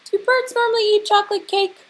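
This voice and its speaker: A woman teacher reading a picture book aloud to children and pausing to prompt them with questions, with hiss on the recording. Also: very high-pitched, fairly even intonation. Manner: instructional, pausing to prompt young listeners.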